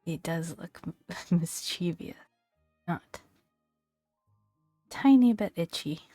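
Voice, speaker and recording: A woman speaking softly and breathily in a gentle, playful ASMR style, often dropping into a whisper as she reads and comments. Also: on the low side, animated, slow, no echo, studio quality, faint music behind. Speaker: gaming video host. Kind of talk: soft-spoken ASMR, reading creature entries with casual commentary.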